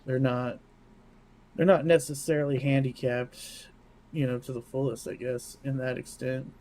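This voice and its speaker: A man's voice: medium pitch, flat delivery, moderate pace, darkish tone. A man co-hosting a podcast, talking in a casual, conversational way. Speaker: podcast co-host. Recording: faint background noise.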